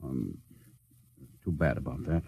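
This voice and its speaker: Commanding spaceship captain. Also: male voice, rumbling low, animated, measured pace, creaky, warm tone, slightly rough, no room sound, full character voice. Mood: calm authority. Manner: clipped, authoritative orders. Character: commanding, short-tempered male spaceship captain. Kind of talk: 1950s radio drama acting.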